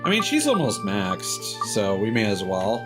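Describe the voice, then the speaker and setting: A man's voice: lowish voice, wildly swooping pitch, normal speed. A man doing casual, joking, sweary commentary on a gaming livestream. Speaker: game streamer. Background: game music.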